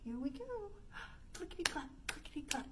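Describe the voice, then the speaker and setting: A woman's voice: sits high, normal speed. A woman in her thirties leading an interactive children's storytime, speaking in an exaggerated sing-song way as she puts questions to young children. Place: indoor room by a stone fireplace. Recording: steady background noise.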